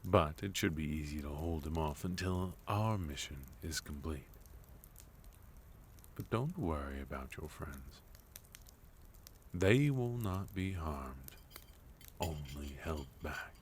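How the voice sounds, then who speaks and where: A sophisticated fantasy villain, played by a man, speaks in a very deep, soft voice. He takes his time, courteous and gently teasing, in an intimate ASMR roleplay style. Faint noise sits behind the voice. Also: expressive, full character voice. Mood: smooth, teasing. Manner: soft-spoken, unhurried, gently teasing, with breathy murmurs. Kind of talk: ASMR roleplay, intimate scripted monologue to listener.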